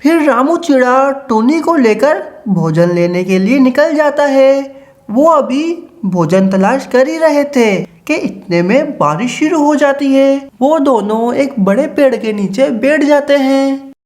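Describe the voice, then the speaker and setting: High-pitched woman narrating a children's story. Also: animated, unhurried, darkish tone.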